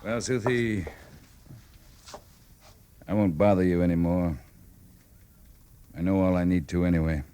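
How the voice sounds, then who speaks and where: An actor playing a stern, determined US cavalry captain in an old time radio drama, speaking in a clipped, grave, commanding way. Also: male voice, low voice, fairly even intonation, a little slow, pauses often, mellow, smooth. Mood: grave, bitter. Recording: vintage radio drama recording.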